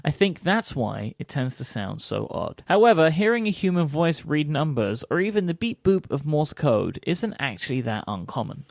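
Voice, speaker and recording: A man's voice: on the high side, big pitch swings, moderate pace, creaky, few pauses, dry recording, studio quality. A man co-hosting a podcast, switching between scripted explanation and teasing banter. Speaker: podcast co-host.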